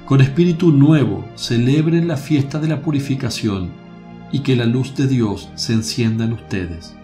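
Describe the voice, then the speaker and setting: An actor playing a serene, kindly religious teacher in a radio drama, speaking in a measured, solemn way. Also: male voice, mid-range voice, mellow, soft background music, close to an everyday voice. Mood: solemn, exalted.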